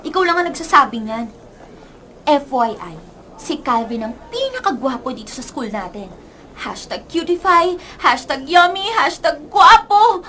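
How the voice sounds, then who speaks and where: A woman playing an excitable, teasing college girl in a radio drama, gushing about boys in slangy, melodramatic speech. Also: high voice, moderate pace, slight background noise. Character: boy-crazy, teasing college girl best friend, excitable. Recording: music under the dialogue.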